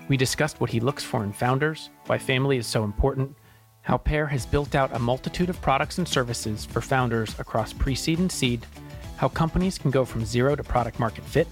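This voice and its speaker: A man hosting a podcast, giving introductions and putting questions to a guest. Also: mid-range voice. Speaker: podcast host. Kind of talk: scripted podcast introduction and interview.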